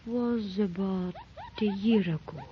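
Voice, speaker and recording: Actress as an evasive young woman, breathy and hesitant, faint hiss on lo-fi old radio. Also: medium pitch, slow, natural voice. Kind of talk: 1930s radio drama acting. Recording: dull lo-fi 1930s radio recording with faint hiss.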